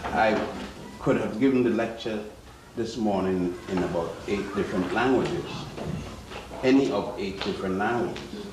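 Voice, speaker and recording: Older male lecturer, slow and halting, recorded far off in an echoey, noisy hall. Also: on the low side, expressive. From Ethiopia. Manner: slow, halting, with many pauses and fillers. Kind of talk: public lecture.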